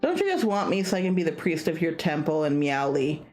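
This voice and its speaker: A woman's voice: sits low, big pitch swings, fairly quick, darkish tone. Woman voicing a hesitant, exasperated young man. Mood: hesitant, questioning. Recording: music behind the voice.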